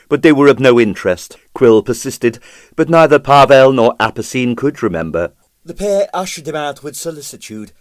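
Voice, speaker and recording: A man's voice: mid-range voice, no echo. A man reading a story aloud as dramatic scripted narration. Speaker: audiobook narrator.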